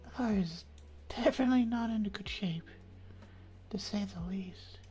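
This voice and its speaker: A woman hosting an ASMR live stream, chatting casually and affectionately to viewers in a soft, breathy voice that often drops into a whisper. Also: medium pitch, normal speed, soft background music. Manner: affectionate, intimate, uses pet names.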